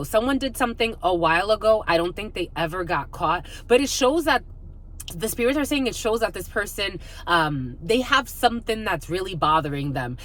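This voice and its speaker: A woman's voice: medium pitch, fairly bright tone. A woman hosting an intuitive spiritual reading, talking casually and off the cuff, rambling and repeating her phrases with "like" thrown in often.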